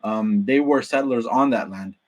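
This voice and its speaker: A man adding points in a casual discussion, talking haltingly with restarts. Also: mid-range voice, muffled recording.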